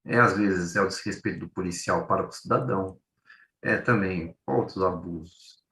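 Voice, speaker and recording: A man's voice: low voice, moderate pace, sharp tone, distant mic, slight background noise. A Brazilian man with a São Paulo accent giving an informal academic presentation, conversational and often repeating his words as he explains. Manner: conversational, repeats words, illustrates with acted-out everyday examples. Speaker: co-presenter, journalist. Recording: roomy echo.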